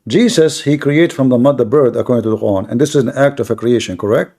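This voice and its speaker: A man's voice: medium pitch, fast talker, mellow. A man hosting a live online debate, pressing his opponent with repeated questions in broken grammar over a video call. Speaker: debate host.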